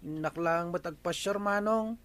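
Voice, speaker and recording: High-pitched cheeky younger brother. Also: male voice, moderate pace, a bit bright, dry recording. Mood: defiant. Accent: Ilocano. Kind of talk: Ilocano radio comedy drama acting.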